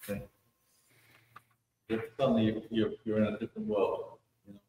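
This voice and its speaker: A man's voice: fairly low pitch, steady tone, a little fast, dark tone, recorded from a distance. A man talking casually in a side conversation, picked up from away from the mic in a noisy room.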